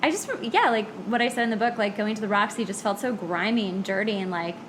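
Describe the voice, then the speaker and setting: A woman's voice: mid-range voice, quick pace, a bit bright. A woman with a Californian accent chatting casually and playfully as a podcast host. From California, United States. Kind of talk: casual chat with a guest. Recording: noticeable background noise.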